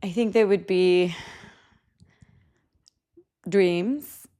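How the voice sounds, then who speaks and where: A woman's voice: lowish voice, drawn-out words, mellow. A woman in her late twenties from Guatemala, a psychiatry resident, talking reflectively as a podcast guest and laughing often. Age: 20s. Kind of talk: casual interview.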